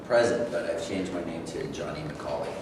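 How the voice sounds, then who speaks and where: A man's voice: very deep voice, steady tone, moderate pace, noisy background. A man reading the part of a young aspiring basketball player who speaks in an earnest, pleading way in a staged reading. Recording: echoey hall from far off.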